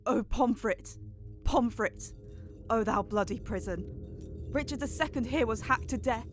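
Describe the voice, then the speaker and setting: A woman's voice: fairly high pitch, measured pace, over music, close to an everyday voice. A woman playing a dignified, condemned noble prisoner, declaiming solemnly in a Shakespearean audio drama. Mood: solemn lament.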